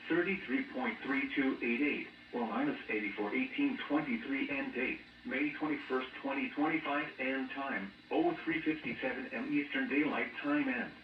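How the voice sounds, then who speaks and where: A muffled American male weather radio voice, heard at a distance through a speaker in an echoey room with clear noise. It reads a scripted bulletin mechanically, like an automated announcer. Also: mid-range voice, moderate pace, few pauses. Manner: flat, mechanical synthetic delivery, reading out codes and figures. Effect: weather radio broadcast.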